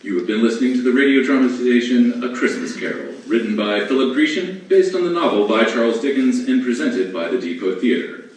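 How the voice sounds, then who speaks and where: A man's voice: mid-range voice, lively intonation, fairly quick, hardly any pauses. A man announcing in the style of an old-time radio drama, picked up on stage with echo from a distance. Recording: echoey distant stage pickup.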